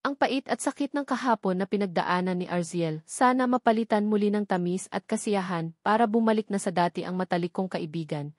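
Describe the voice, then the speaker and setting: A woman's voice: medium pitch, brisk, hardly any pauses, dry recording. Woman narrating a romance novel, dry sound. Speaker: audiobook narrator. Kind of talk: scripted first-person romance novel narration.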